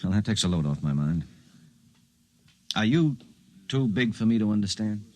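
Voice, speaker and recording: Man playing a low-voiced, skeptical radio drama everyman. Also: lively intonation, moderate pace, warm tone, natural voice. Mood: wry. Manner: blunt, colloquial questioning. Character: skeptical, troubled professional man, plain-spoken and questioning. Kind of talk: 1950s radio drama acting. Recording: 1950s radio broadcast recording.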